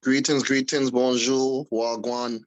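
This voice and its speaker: A man from Canada giving an informal, halting pitch as an arts director at an online meeting. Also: level tone, no room sound, highs cut off. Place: online video call.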